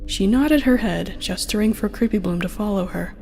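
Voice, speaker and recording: A woman's voice: medium pitch, normal speed, gravelly, warm tone, faint music behind. A woman reading a scripted story aloud as its narrator. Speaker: narrator and reader.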